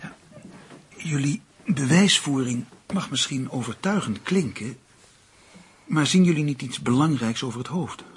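An actor playing a brisk, matter-of-fact, sceptical husband in a Dutch radio drama. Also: male voice, medium pitch, animated, slightly rough. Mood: firm, reasoning. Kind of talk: Dutch radio play acting.

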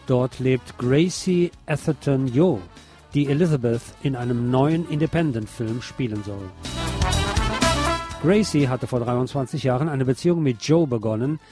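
A man's voice: medium pitch, dark tone. A man narrating a film review as the host.

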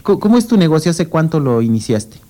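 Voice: medium pitch, big pitch swings, quick pace, warm tone. A radio host interviewing a guest, asking long, encouraging, rambling questions.

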